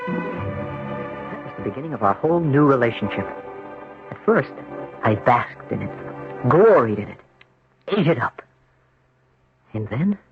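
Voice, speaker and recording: An American actor playing a tormented married man confessing a haunted past in a brooding, halting 1970s radio drama style. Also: medium pitch, big pitch swings, music in the background. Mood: anguished, dramatic. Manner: brooding, halting, rhetorical questioning. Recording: muffled vintage radio broadcast.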